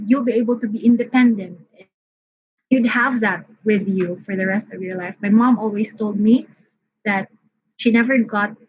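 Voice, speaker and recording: A woman with a husky voice speaking personally and reflectively as a webinar panelist, halting and rephrasing, on muffled online-call audio. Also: medium pitch, a little mellow. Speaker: webinar panelist, coach and teacher. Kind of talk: personal, reflective unscripted talk.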